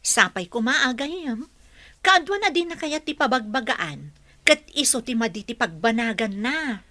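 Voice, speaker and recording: A woman's voice: fairly high pitch, lively intonation, unhurried, sharp tone, dry recording. A woman playing a sympathetic confidant in an audio drama, speaking earnestly. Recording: close and dry, music underneath.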